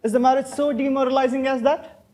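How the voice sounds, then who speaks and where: High-pitched, airy young gentleman acted by a man. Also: level tone, fast. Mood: lordly, flippant. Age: young adult. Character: idle, witty young Victorian gentleman, flippant and self-assured. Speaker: student actor in a stage play. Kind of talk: stage comedy of manners acting.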